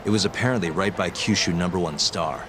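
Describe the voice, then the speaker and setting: An actor playing a cool, determined investigator in a crime game, talking in a casual, understated way. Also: male voice, low-pitched, quick pace, noisy background, lightly acted. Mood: matter-of-fact. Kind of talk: video game cutscene acting.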